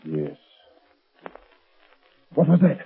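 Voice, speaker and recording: A man's voice: a little high, big pitch swings, extremely slow, creaky, slightly rough, heavily acted. An actor playing a nervous, fearful, submissive henchman with a gloomy, anxious manner, in 1930s radio drama on a noisy, tinny recording. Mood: gloomy, uneasy.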